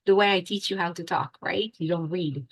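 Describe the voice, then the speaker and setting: A woman's voice: on the low side, a little fast, breathy edge. Chatty Israeli woman, lots of fillers. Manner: animated, lots of fillers and restarts. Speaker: English teacher, guest. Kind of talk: casual interview chat.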